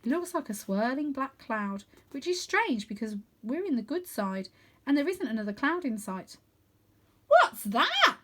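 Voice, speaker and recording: High-voiced woman reading a children's story. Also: normal speed, slight background noise. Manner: dramatic, animated emphasis. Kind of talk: expressive children's book narration.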